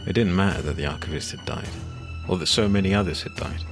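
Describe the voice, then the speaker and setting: A man playing a weary, shaken occult adventurer, telling his ordeal in deliberate, brooding scripted narration. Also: sits low. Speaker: first-person narrator. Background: music.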